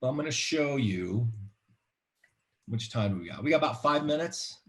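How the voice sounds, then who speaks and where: A middle-aged man with an American accent explaining informally on a video call, halting and repeating words as he goes. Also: mid-range voice, lively intonation. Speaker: webinar guest presenter, marketing expert. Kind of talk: informal explanatory webinar talk. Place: home room, indoors.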